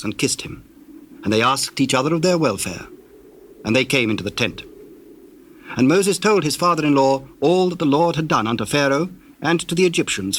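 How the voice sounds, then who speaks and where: A man narrating a dramatized scripture reading in a solemn, formal and measured storytelling manner. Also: mid-range voice, fairly bright tone, slight background noise.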